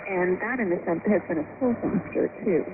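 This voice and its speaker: A woman's voice: lowish voice, fairly quick, phone-quality sound, noisy background. A woman giving interview commentary as a policy expert, heard through a shortwave radio broadcast.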